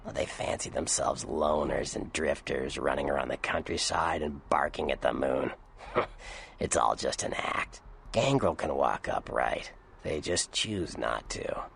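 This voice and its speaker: An actor voicing a cynical, sly Nosferatu vampire informant in a video game, with a gravelly American voice and a dry, sardonic manner. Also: male voice, extremely low pitch, lively intonation, measured pace, a bit bright, exaggerated voice. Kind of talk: video game character dialogue.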